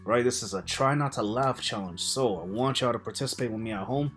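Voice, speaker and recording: A man hosts a reaction video in a casual, colloquial way. His voice sits at an ordinary middle pitch. He addresses the viewers directly and informally. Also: a little fast, fairly bright tone, faint music behind. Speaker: host of a reaction channel. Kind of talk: casual vlog commentary. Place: indoor room at a computer.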